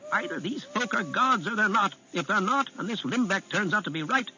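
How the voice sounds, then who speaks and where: A man's voice: high-pitched, expressive, fairly quick, bright, forceful tone, pitched above the performer's own voice, heavily acted, rough recording. A man doing a blunt bearded dwarf leader. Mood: urgent. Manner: blunt, insistent, commanding. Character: High Froman Darrow, a gruff bearded dwarf leader.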